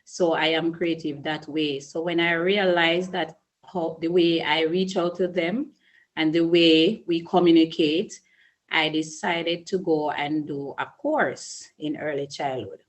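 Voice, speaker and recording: Jamaican woman, middle-aged, principal being interviewed; low, unhurried, earnest. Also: steady tone, rough recording. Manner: slow, measured, earnest with restarts. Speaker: early childhood school principal. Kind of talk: interview answers.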